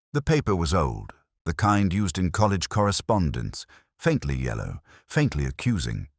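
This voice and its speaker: A man playing a weary, melancholy police inspector who narrates his case in measured, literary first-person prose. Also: low voice, dry recording, clean studio sound, full character voice. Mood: watchful.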